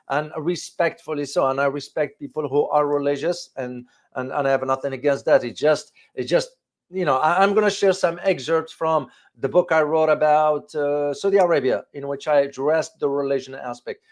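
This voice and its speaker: A man in his fifties hosting informal geopolitical commentary for viewers, speaking haltingly and repeating phrases for emphasis. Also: fairly high pitch. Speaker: host of a geopolitics show. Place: small furnished home room, indoors.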